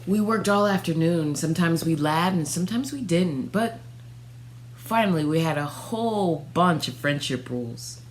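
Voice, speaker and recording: A woman in her thirties reads a picture book for children in a fairly low voice. Her phrasing is expressive and deliberate. The recording is a homemade one on a phone, with slight background noise and hum. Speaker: storytime reader. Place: small furnished room at home, indoors.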